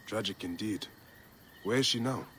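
An actor playing a grave, steady Egyptian warrior and father who speaks in a calm, measured, formal way. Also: fairly low pitch, slight background noise, natural voice. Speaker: Medjay protector.

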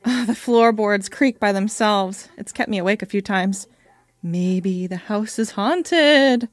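A woman's voice: mid-range voice, slow pace, dry recording, close to an everyday voice. A young woman playing a sociable, insistent friend in an amateur audio drama. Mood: coaxing.

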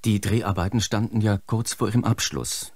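An actor playing a polite, probing documentary presenter in a radio play, speaking formally and in measured phrases. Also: male voice, fairly low pitch, a little mellow, dry recording, clean studio sound. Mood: politely probing. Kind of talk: scripted broadcast interview in radio play.

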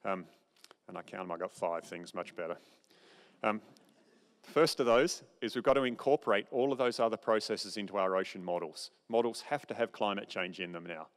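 Middle-aged guy giving a keynote, lowish voice, big echoey auditorium. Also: normal speed. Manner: explanatory, informal asides. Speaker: keynote speaker. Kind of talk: conference keynote lecture. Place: large dark auditorium stage. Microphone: podium microphone, far camera. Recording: long hall reverb.

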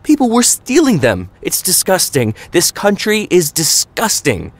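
A man's voice: sing-song, moderate pace, lightly acted. A man putting on a furious ranting mother. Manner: shrill, exasperated ranting. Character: furious overwhelmed mother of two, woman.

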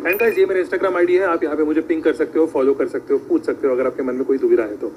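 A young man walking around a motorcycle outdoors, listing specs quickly in a casual presenter manner. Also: on the high side, fairly even intonation, noisy background. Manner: quick, tech-spec listing, mixing English. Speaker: bike reviewer in a played clip. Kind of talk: fast Hinglish bike walkaround review.

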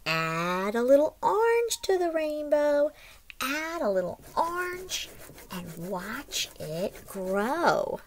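Woman with lively, sing-song read-aloud delivery. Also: high voice. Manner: expressive, sing-song, deliberate delivery addressed to children. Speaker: teacher reading a picture book aloud. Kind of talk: read-aloud lesson for young children. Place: small room at home, indoors.

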